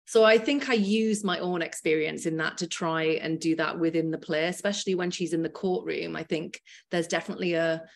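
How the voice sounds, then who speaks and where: A woman's voice: on the low side, steady tone, brisk, vocal fry, hardly any pauses. A young woman with a Teesside English accent, chatting casually on a video call interview, with many fillers and restarts. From Teesside, Northeast England. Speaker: actor, guest. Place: small room indoors. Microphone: laptop webcam, video call.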